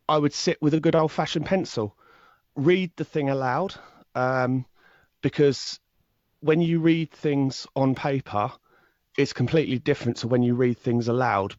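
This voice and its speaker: A man talks through his work as an interview guest in a loose, long-winded way, often repeating a word before pressing on. His voice sits at a middling pitch and comes across a little dull and muffled. The room adds no echo at all. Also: darkish tone. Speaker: podcast guest, true crime podcaster. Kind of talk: casual interview answer.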